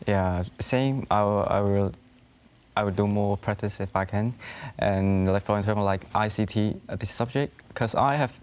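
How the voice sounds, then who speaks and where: Low-voiced teenage man, halting English. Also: a little mellow, clean tone. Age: late teens. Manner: hesitant, simple halting English. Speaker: interview guest, school graduate. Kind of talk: studio interview answers. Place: TV studio.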